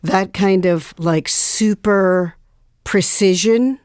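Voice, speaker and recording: A woman reflecting as an interview guest, choosing her words in a deliberate, emphatic way. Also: mid-range voice, darkish tone, clear, resonant tone, no echo, clean studio sound. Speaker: guest actor.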